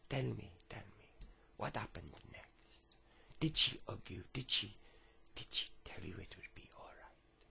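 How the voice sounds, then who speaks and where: A man voicing a kindly, boozy old French homeless man with a put-on French accent, coaxing slowly and dramatically in a breathy half-whisper. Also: fairly low pitch, expressive, bright, forceful tone, heavily acted. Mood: intense sympathy.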